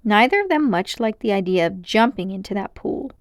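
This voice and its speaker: A woman reading a children's story aloud as narrator, in an expressive storytelling style. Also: medium pitch, brisk, dry recording, clean studio sound.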